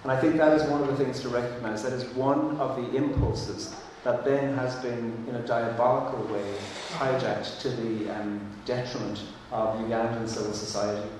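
A man's voice: mid-range voice, steady tone, moderate pace, few pauses, darkish tone, a little hiss or hum. An Irish man whose first language is Irish, speaking earnestly and thoughtfully on a panel, with frequent restarts. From Ireland. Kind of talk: panel discussion. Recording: echoey hall from far off.

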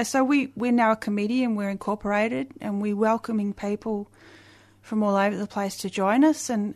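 A woman with a loud voice, a guest on a radio interview, talking eagerly with many restarts and fillers. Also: mid-range voice. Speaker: guest, community festival organizer.

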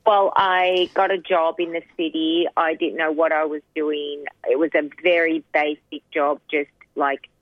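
A low-pitched woman in her sixties, from Sri Lanka, recounts her past in a soft, hesitant way with frequent pauses. Her voice comes through a narrow, phone-like line with a dry sound. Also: level tone, no room sound. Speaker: interviewee. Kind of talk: personal storytelling interview.

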